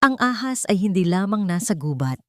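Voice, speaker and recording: A woman's voice: medium pitch, very animated, moderate pace, fairly bright tone, dry recording, studio quality. A woman with a Filipino accent voicing a mysterious, bitter elderly stranger giving cryptic warnings in an audio drama. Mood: grave warning.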